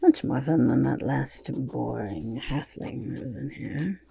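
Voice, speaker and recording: A woman voicing a vain, excitable goblin artist in an ASMR roleplay, often whispering, with a gravelly creak and pompous drawn-out words. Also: a bass voice, slight background noise, exaggerated voice. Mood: boastful. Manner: third-person self-praise, pompous drawn-out words.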